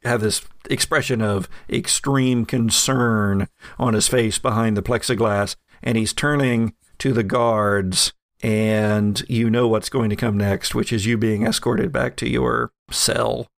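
Gravelly male game master, dry close sound, descriptive narration with fillers. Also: on the low side, steady tone, unhurried, dry recording.

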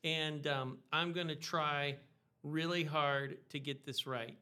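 A man hosting a casual interview, chatty and encouraging, quick to praise his guest. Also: on the high side, fairly even intonation, bright, forceful tone, clear, resonant tone. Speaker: interviewer, host.

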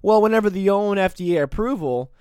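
A young man in his twenties rants through his solo podcast, sarcastic and combative, often rambling. His voice sits a bit high for a man. The recording is dry and close, with no room sound. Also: lively intonation, fairly quick, darkish tone, clean tone, no echo. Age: 20s. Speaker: podcast host. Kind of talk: opinionated solo commentary.

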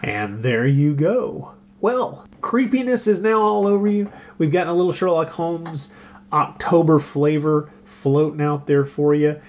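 A man's voice: fairly high pitch, a little slow, a little mellow. A man hosting a podcast in casual chat, with a wry, self-deprecating, joking manner. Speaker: podcast host.

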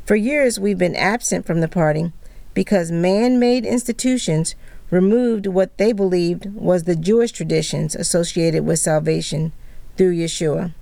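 A woman co-hosting a religious podcast, reading a script in a calm, measured way. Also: on the low side, vocal fry, no room sound. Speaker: podcast co-host.

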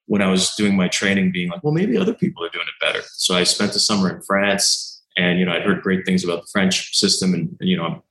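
A doctor and founder in his thirties, telling stories in an animated way and acting out quoted exchanges, with lots of "you know" fillers. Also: low-pitched, quick pace, few pauses, reverberant, low-quality recording. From Canada. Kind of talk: conversational interview answers.

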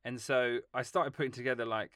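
A man talking as a film composer guest in a casual interview, telling long, lively stories and laughing along the way. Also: mid-range voice, a little fast, dry recording, studio quality. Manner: animated, rambling storytelling with laughs.

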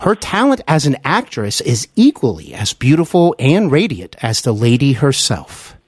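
Effusive American podcast host, lively male voice. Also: medium pitch, moderate pace, husky, dry recording, clean studio sound. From United States. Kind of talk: scripted podcast introduction.

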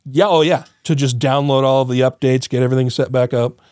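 A man's voice: mid-range voice, fairly even intonation, brisk, darkish tone, dry recording, clean studio sound. A man co-hosting a podcast in casual chat, his voice still a bit congested and nasal, rambling, swearing freely and laughing often. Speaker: podcast co-host.

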